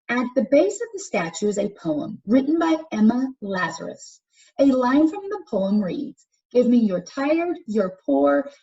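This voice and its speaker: A woman teacher reading educational text aloud for children with deliberate, instructional phrasing. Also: medium pitch, mellow. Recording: dull, distant microphone pickup.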